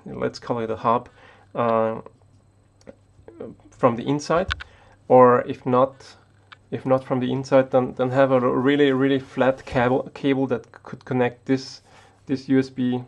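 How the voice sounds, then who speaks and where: Austrian guy, not english, gravelly low-ish voice, stumbles and restarts a lot talking to camera. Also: level tone, unhurried, lo-fi sound. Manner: hesitant, many restarts and fillers. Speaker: tech YouTuber. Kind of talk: unscripted talk to camera.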